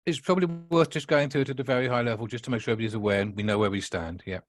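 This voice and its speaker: A man hosting a meeting, brisk and matter-of-fact. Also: mid-range voice, dry recording, clean studio sound.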